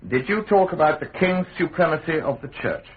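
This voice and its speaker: An actor with a British accent playing a hostile, pompous state prosecutor, insistently cross-examining in a radio drama. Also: male voice, a little high, expressive, brisk, phone-quality sound, natural voice. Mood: brisk, probing. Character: hostile, sardonic state prosecutor, forceful and scheming. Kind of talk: radio drama courtroom acting.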